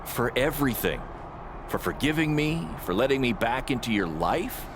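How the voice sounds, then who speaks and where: Earnest middle-aged wrestling mentor, played by a man, lively pitch. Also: normal speed, noisy background, lightly acted. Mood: heartfelt, remorseful. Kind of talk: video game cutscene acting.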